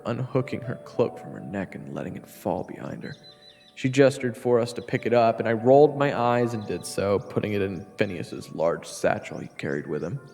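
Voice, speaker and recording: A man's voice: fairly low pitch, vocal fry, darkish tone, big-room sound. A man playing a casual, wry human adventurer in an audio roleplay, telling his story in the first person. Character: weary, uneasy one-handed male human adventurer.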